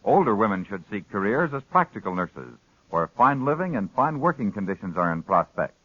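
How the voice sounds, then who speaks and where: A man's voice: lowish voice, very animated, normal speed, bright, forceful tone, husky, no room sound. An American man reading scripted copy as a 1950s radio announcer. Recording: dry, dull old radio recording.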